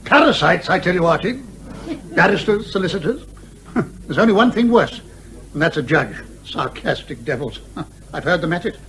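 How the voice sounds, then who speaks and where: An actor playing an older British major, pompous, hearty and blustering, in an old-fashioned radio comedy style. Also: male voice, a little high, very animated, moderate pace, long pauses, a bit bright, slight background noise. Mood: indignant scorn. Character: blustering, genial old retired major, father who despises lawyers.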